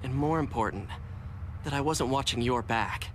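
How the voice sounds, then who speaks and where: A man playing an earnest yet cocky teenage boy hero who leads a team in an animated cartoon. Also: medium pitch, moderate pace, background noise, natural voice. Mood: remorseful, earnest. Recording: music loud behind the voice. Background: loud music.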